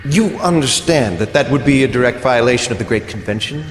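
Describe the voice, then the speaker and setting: A man's voice: mid-range voice, lively intonation, a little fast, close to an everyday voice. A man as a proud soldier son, swinging intonation. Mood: wary. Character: proud, resentful soldier son obeying his father. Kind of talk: video game cutscene acting. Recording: hall reverb, faint noise.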